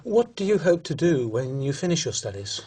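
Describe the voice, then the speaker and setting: A man reading out scripted questions as a language test examiner, formal and measured. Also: on the high side, big pitch swings, dark tone, slightly rough.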